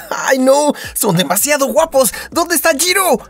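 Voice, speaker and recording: A woman plays a cheeky cartoon teen boy with a husky voice. He is goofy and excitable, swooping in pitch and drawing out his words over light music. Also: heavily acted. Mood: flustered. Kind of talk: fandub comic acting.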